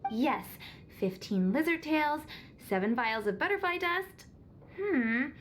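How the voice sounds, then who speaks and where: Very high-voiced friendly shopkeeper. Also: female voice, expressive, slow pace, a little hiss or hum. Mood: welcoming, obliging. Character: friendly, obliging young female shopkeeper. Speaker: video game character. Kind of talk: animated game voice acting.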